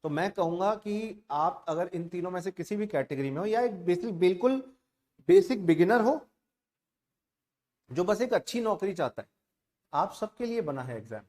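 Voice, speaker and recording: A man's voice: high voice, lively intonation, moderate pace, a little mellow. A man with an Indian accent giving an informal online exam-prep lecture as a coaching teacher, conversational and emphatic, switching between Hindi and English.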